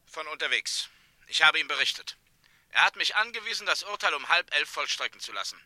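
An actor playing a stern, unyielding prison official in a German radio crime drama, curt, formal and authoritative. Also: male voice, fairly high pitch, lively intonation, a little fast, bright, forceful tone, no echo.

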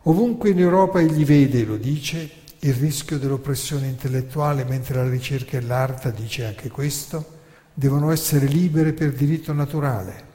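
A man delivering theatrical scripted narration in a measured, declamatory storytelling manner. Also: medium pitch, warm tone. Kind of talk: theatrical scripted narration with dramatic recited quotations. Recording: long hall reverb.

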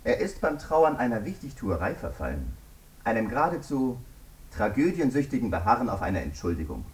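A man's voice: medium pitch, sing-song, brisk, distant mic, close to an everyday voice. An actor in a German radio drama playing a stern, indignant male prosecutor who speaks formally and emphatically. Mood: indignant, insistent.

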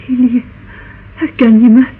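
A woman's voice: a little high, little pitch movement, lightly acted. An actress playing a fragile, doting older woman, tender and overwrought with longing, in a radio drama. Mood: pleading tenderness. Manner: tender, emotional, drawn-out. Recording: phone-like, noisy old radio play recording.

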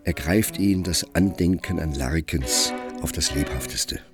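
An actor narrating a literary radio drama as a measured storyteller of a romantic tale. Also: male voice, very deep voice. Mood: measured storytelling. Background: music under the narration.

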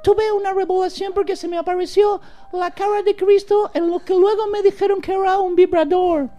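A woman's voice: very high-pitched, steady tone, moderate pace, mellow, lo-fi sound. A woman playing a boastful, flirty American nun who speaks Spanish with an American accent and keeps slipping into English. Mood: giddy. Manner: giggly, mixes English into Spanish, rambling. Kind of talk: improvised comic radio sketch.

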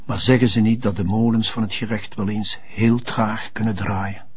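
A man's voice: lowish voice, steady tone, moderate pace, natural voice. An actor playing an older Flemish notary who confides and pleads hesitantly, mixing French phrases into his Dutch. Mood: grave, pleading. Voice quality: husky, gravelly. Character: old bourgeois notary, confiding and quietly pleading. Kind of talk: radio or TV drama acting.

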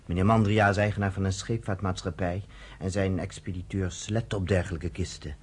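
A man's voice: deep voice, fairly even intonation, fairly quick, breathy edge. A man playing an earnest young camp resistance organizer in his twenties, matter-of-fact, in a radio play. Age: 20s.

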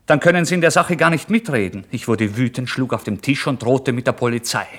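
A man's voice: medium pitch, brisk, lightly acted. An actor playing a starving, proud and agitated down-and-out man in a dramatic literary radio monologue. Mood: indignant, angry. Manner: dramatic, swinging from hushed brooding to indignant outbursts.

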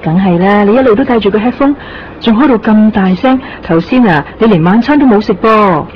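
A woman's voice: medium pitch, a little fast, dull audio, slight background noise, close to an everyday voice. A woman playing a polite, patient flight attendant in a radio drama.

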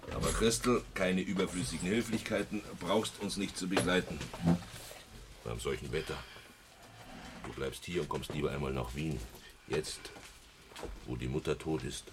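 Deep-voiced, brisk, patronising relative. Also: male voice, steady tone. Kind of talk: German radio play acting. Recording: clear background noise.

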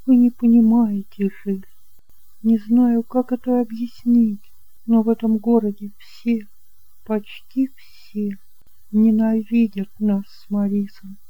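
Мужчина играет печальную, встревоженную женщину, высокий голос. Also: male voice, sits high, little pitch movement, slow, no room sound, dull audio, pitched above the performer's own voice. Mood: anxious, pleading. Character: anxious, sorrowful young aunt, weary and tearful. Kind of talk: audiobook drama acting.

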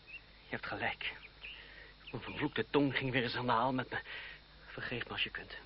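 An actor playing a sharp-tongued, bitter but loyal young gentleman in a Dutch radio play, theatrical and mocking. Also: medium pitch, normal speed, close to an everyday voice. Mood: contrite, earnest. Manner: sardonic, theatrical. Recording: dull, noisy old radio play recording.

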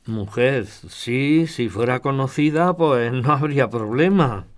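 A man playing a stubborn, soft-hearted older pensioner in a radio soap, grumbling and protesting, with an accent from Spain. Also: mid-range voice, expressive, slow pace. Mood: reluctant. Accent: Spanish from Spain.

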